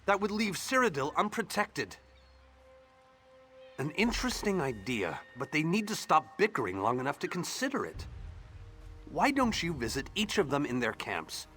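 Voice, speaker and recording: An actor playing an exasperated, diplomatic archmage in a fantasy game, with a refined, wry and theatrical delivery. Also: male voice, fairly high pitch, huge pitch swings, moderate pace, pushed and bright, faint music behind, exaggerated voice. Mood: wry, frustrated. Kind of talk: fantasy game dialogue acting.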